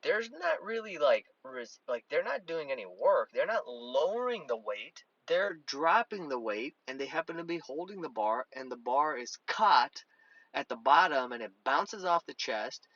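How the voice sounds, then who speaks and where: A man's voice: a little high, big pitch swings, moderate pace, fairly bright tone, no room sound, highs cut off. A man who hosts a podcast as a strength coach, explaining training in a casual, conversational way, often restarting his sentences. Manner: conversational, many restarts, hand-waving examples.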